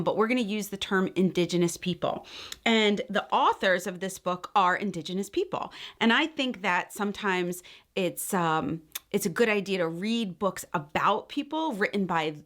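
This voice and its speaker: A woman hosting a children's read-aloud, reading a picture book and explaining things simply and carefully for young listeners. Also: mid-range voice.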